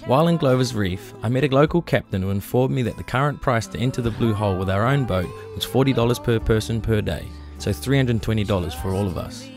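A man's voice: fairly low pitch, fast talker, hardly any pauses, a little mellow, soft background music. Easygoing young man narrating a sailing trip. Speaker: sailing vlog host and skipper. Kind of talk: casual travel narration.

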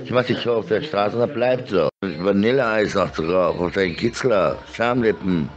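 A man's voice: on the low side, normal speed, crowd noise. A middle-aged man rambling insistently and repetitively in an explicit, suggestive monologue, speaking close to a phone or webcam. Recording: dull lo-fi clips.

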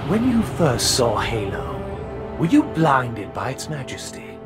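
A man's voice: mid-range voice, huge pitch swings, a little slow, over loud music. A man voicing a haughty, indignant alien prophet in a video game, theatrical and sneering. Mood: scornful. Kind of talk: dramatic video game cutscene acting.

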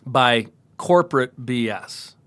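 A man's voice: medium pitch, expressive, slow pace, a bit bright, dry recording. A man in his forties, a podcast guest in casual chat, talking emphatically and preaching his views. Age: 40s.

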